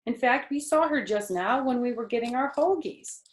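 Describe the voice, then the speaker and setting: A woman's voice: a little high, animated, moderate pace, voice pushed up, natural voice. A woman voicing a friendly, matter-of-fact young schoolboy in a children's book, plain and earnest. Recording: roomy indoor echo.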